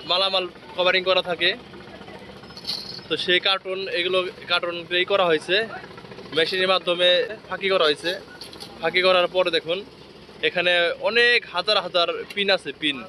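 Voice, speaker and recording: Clear outdoor machine and traffic noise surrounds a man with a Bangladeshi accent and a fairly high voice. He narrates a vlog on the spot, marvelling and circling back over the same points as he explains. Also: fairly bright tone. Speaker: video blogger. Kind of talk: on-location vlog commentary. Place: outdoors at a riverside work site. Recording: noisy outdoor recording with vehicle ambience.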